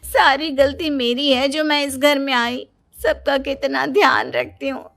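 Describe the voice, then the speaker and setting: A woman's voice: high voice, fairly even intonation, measured pace, vocal fry, a bit bright, full character voice. A woman playing a self-pitying, boastful young Indian daughter-in-law in a cartoon family drama, in a theatrical, whining manner. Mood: sarcastic, aggrieved.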